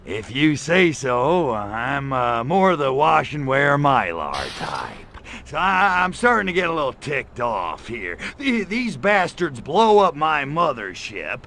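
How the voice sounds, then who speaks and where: A man voicing an irritable, lecherous little alien invader in a video game, gravelly, with a sarcastic, slangy tough-guy delivery. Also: mid-range voice, sing-song, takes it slowly, hardly any pauses, pushed and bright, a little hiss or hum, heavily acted. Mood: angry. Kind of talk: video game cutscene acting.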